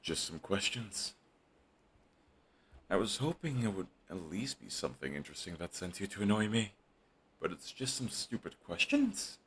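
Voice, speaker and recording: A man's voice: low voice, sing-song, normal speed, slight background noise. A man voicing a grumpy, sarcastic male supervillain who dismisses an old rival in an audio roleplay drama. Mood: irritated. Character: snarky, grumpy male supervillain, middle-aged. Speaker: voice actor.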